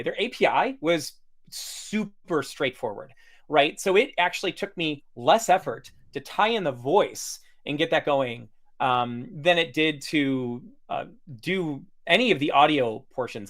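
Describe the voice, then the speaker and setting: A man hosts a casual livestream demo from a video call, talking in a chatty way with lots of fillers and restarts. His voice sits a little high and swings widely in pitch. He sounds relaxed and informal throughout. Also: moderate pace. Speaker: host demoing software.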